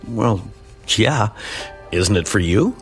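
A man's voice: lowish voice, expressive, faint music behind. An actor playing a friendly, awkward single man from a small town in an audio drama, speaking hesitantly. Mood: awkward, candid. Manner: hesitant, halting, often flustered. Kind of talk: naturalistic audio drama acting.

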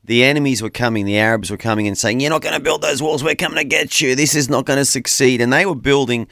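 Aussie guy cohost on morning radio, talks fast, preachy and upbeat, dry studio sound. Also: medium pitch, vocal fry, bright, forceful tone, no echo. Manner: quick, preachy, encouraging. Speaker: radio show co-host. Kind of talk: casual breakfast radio chat with faith talk. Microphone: studio microphone.

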